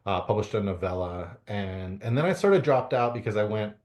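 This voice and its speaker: A man's voice: lowish voice, fairly quick, a little mellow. A middle-aged man from Canada answering interview questions, with many fillers and restarts. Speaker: guest author.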